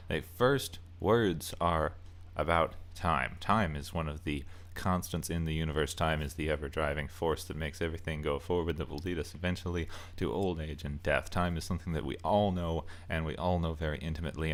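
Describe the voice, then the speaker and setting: A man giving casual, opinionated commentary as a game reviewer, rambling a little and stressing key words. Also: very deep voice. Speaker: video essayist and game reviewer. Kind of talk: casual loosely scripted commentary.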